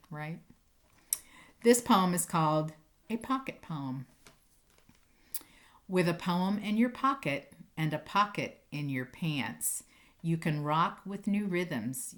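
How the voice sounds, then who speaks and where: A woman speaking like an encouraging teacher to children, reading poems expressively and chatting casually in a playful, enthusiastic way. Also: fairly low pitch. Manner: playful, animated, emphatic on sound words.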